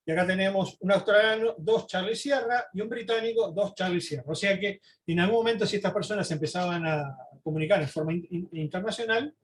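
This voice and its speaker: High-pitched man giving a halting lecture. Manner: halting, repeats words, frequent questioning tags. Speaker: presenter giving a history talk. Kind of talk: informal lecture talking through slides.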